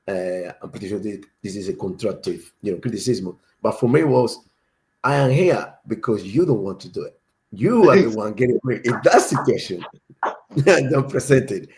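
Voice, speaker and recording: A man's voice: fairly low pitch, lively intonation, normal speed, a little mellow, poor audio. A man from Cuba, a native Spanish speaker with a strong Cuban accent, chatting casually as a panel speaker.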